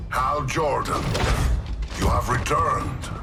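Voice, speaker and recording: A man playing a wrathful alien warlord in a video game, with a gravelly growl and a grandiose, menacing delivery. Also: medium pitch, takes it slowly. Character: wrathful alien Red Lantern warlord preaching vengeance. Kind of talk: dramatic video game acting. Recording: loud music behind.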